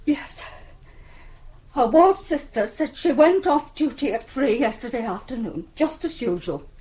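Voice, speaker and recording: A woman's voice: high voice, animated, measured pace, dark tone. An actress playing an anxious, distraught middle-aged British mother in a radio drama, pleading and hesitant but insistent. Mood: subdued, hesitant. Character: anxious, distraught mother of a missing grown daughter.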